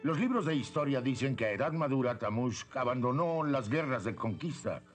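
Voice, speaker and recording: Guy doing kindly dignified king, bit high and animated, latin american spanish cartoon dub, music behind. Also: moderate pace, close to an everyday voice. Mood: thoughtful. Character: good-humoured dignified middle-aged cartoon king, easily flustered. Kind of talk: animated series dubbing.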